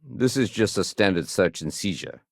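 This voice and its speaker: A man playing a dutiful, wry, level-headed space-colony security sergeant in a video game, with measured, conversational authority. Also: sits low, very animated, gravelly, dry recording, studio quality, exaggerated voice. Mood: measured, matter-of-fact. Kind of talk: video game character dialogue.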